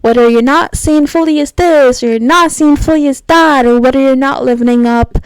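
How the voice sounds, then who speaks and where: A woman's voice: high-pitched, moderate pace, no room sound, poor audio. A young woman hosting a solo podcast, talking in a casual, reflective way with lots of fillers. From United States. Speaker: podcast host.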